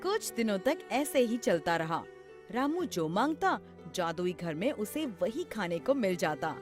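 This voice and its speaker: A woman's voice: medium pitch, very animated, unhurried. A woman narrating a scripted children's story. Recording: music behind the voice. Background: background music.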